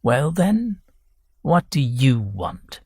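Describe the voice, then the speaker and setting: A man voicing a weary, querulous, ailing old gentleman in an audiobook. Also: lowish voice, animated, measured pace, breathy edge, no echo, studio quality, full character voice. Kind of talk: audiobook character voice.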